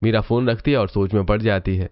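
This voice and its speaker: A man's voice: fairly low pitch, fairly even intonation, brisk, lo-fi sound, faint music behind. A man narrating a scripted cartoon family story as the storyteller.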